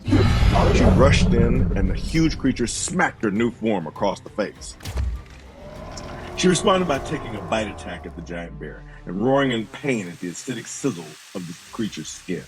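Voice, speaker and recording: A man's voice: fairly low pitch, big pitch swings, moderate pace, music in the background. A man giving dramatic, scripted narration for a fantasy audio drama.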